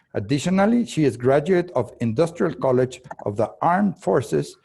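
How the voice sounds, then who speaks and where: Husky, mellow-voiced male panel moderator. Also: mid-range voice. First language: Spanish. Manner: unhurried reading, with halting restarts and throat-clearing. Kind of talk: formal introduction, reading guest bios aloud.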